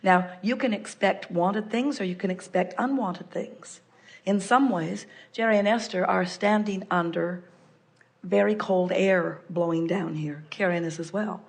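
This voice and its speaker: An older woman giving a measured, explanatory seminar talk, referring to herself in the third person. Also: mid-range voice, gravelly. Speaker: spiritual speaker answering a guest. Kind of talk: live seminar channeling talk.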